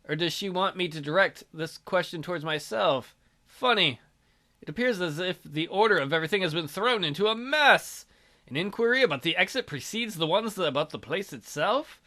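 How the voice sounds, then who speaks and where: A man's voice: high voice, bright, forceful tone. A man reading a visual novel aloud theatrically as a let's play host, with casual, jokey asides.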